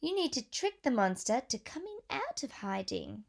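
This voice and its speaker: A woman teacher reading a picture book aloud to young children, pausing for questions and gentle whispered asides. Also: high-pitched, big pitch swings.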